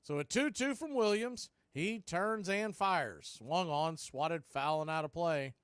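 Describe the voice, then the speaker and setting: A radio announcer calls a baseball game pitch by pitch, brisk and conversational as he keeps up with the count. His voice sits a touch high for a man. The sound is dry and close, with no room around it. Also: lively intonation, a bit bright, smooth, dry recording. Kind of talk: live baseball play-by-play commentary.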